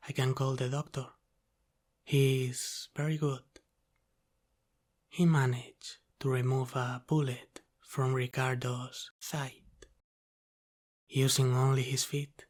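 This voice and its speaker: Casting: male actor as Italian-American mob boss for ASMR roleplay. Extremely slow, even-toned, calmly menacing and teasing, often sinking to a whisper. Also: medium pitch, natural voice. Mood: lightly teasing. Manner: deliberate, halting, teasing, often dropping to a whisper.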